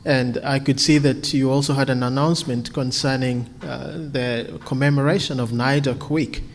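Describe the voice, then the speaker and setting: A man's voice: medium pitch, fairly even intonation, normal speed, slight background noise. A man from Kenya, preaching earnestly and then answering questions, often repeating words as he restarts. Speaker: visiting preacher.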